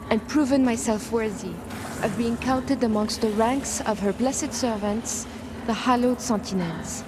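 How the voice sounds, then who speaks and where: An actress playing a fervent, solemn young holy initiate in a video game, speaking as if swearing a vow. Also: female voice, a little high, noisy background, lightly acted. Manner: solemn vow-taking delivery. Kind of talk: video game dramatic acting.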